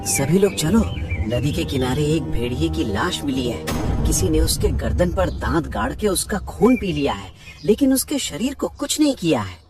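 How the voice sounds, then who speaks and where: A woman voicing an excitable, worried jungle animal in a cartoon fable, with exclamatory, colloquial speech. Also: low-pitched, normal speed, recorded from a distance, over music. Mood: alarmed.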